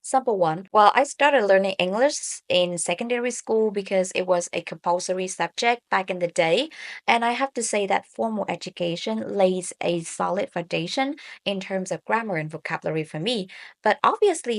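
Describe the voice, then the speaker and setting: A woman who works as an English speaking tutor, announcing each exam question and then reading model answers in a steady, explanatory way, with occasional fillers and small restarts. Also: medium pitch.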